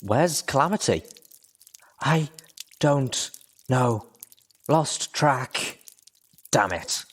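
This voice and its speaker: Storybook heroine: young mare, pitched up, breathless and urgent, worn out yet determined , performed by a male reader. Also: lively intonation, very slow, long pauses, husky, exaggerated voice. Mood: anxious, panting. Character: young female pony, exhausted, hurt, determined.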